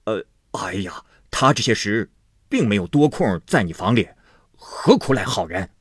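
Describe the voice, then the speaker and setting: A reader doing a blustering hot-tempered husband. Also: male voice, on the low side, lively intonation, a little slow, a bit bright, breathy edge, no echo, studio quality, exaggerated voice. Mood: gruff scolding. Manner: blustering, scolding.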